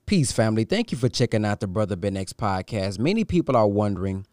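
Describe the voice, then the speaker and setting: A man's voice: lowish voice, a little mellow, smooth, no echo, studio quality. A man hosting a podcast, delivering a promotional announcement addressed directly to the listener. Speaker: podcast host.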